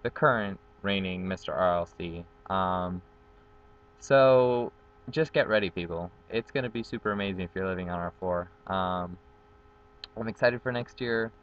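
A man's voice: low-pitched, normal speed, highs cut off. A young man from Guatemala, a native Spanish speaker, introducing himself in a casual, upbeat vlog full of ums, filmed close on a webcam outdoors. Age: young adult. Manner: chatty, upbeat, many ums. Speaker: college dorm community facilitator. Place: outdoors on a patio with trees. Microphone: built-in webcam mic, close framing.

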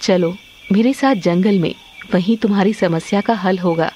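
Casting: mysterious motherly ghost for a cartoon, voiced by a woman, lowered, soothing, protective. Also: moderate pace, a little hiss or hum, close to an everyday voice. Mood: calm, coaxing. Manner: calm, reassuring. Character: calm, mysterious spirit mother, protective and reassuring.

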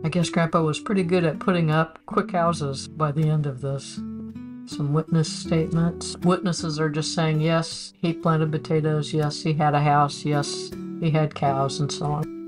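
A woman's voice: deep voice, level tone, measured pace. Older woman chatting wryly over guitar music. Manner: chatty, reads documents aloud with wry asides. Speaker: family history channel host. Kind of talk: casual explanatory narration over documents. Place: home office with bookshelves.

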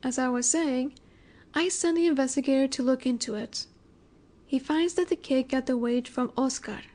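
A woman playing a flirty, menacing, self-assured mafia boss in an audio roleplay, speaking coyly and deliberately. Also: sits high, warm tone. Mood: composed, sly. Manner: coy, teasing, deliberate, sometimes breathy. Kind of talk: scripted audio roleplay, addressing the listener.

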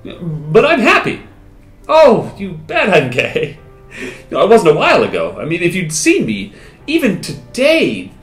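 A wistful wounded soldier, played by a man in his thirties recites a dramatic monologue in a slightly high voice. He is theatrical and halting, leaving long pauses. The room echoes and music plays behind him. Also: wildly swooping pitch, full character voice. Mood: joyful. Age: 30s. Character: wounded Canadian soldier, disfigured, wistful, lovestruck, grateful. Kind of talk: dramatic poetry recital, first-person monologue. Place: small indoor room with hard walls. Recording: roomy echo, music behind.

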